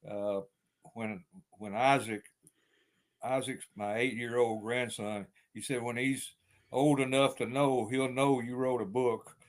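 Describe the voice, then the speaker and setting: Older man with a deep East Texas drawl. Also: steady tone, a little slow. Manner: rambling, many fillers and restarts, chuckles often. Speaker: author guest. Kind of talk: casual interview chat.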